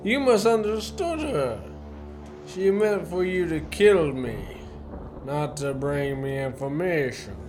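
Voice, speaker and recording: A man acting in a student radio play as a courteous, menacing gentleman professor who is secretly a spy chief. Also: on the high side, animated, takes it slowly, over music. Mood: smoothly menacing. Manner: courteous, genteel, theatrically menacing.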